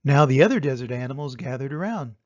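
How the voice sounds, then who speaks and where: An older man reading a children's story aloud with expressive, dramatic emphasis. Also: mid-range voice, quick pace, no room sound, lo-fi sound. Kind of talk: animated children's storybook narration.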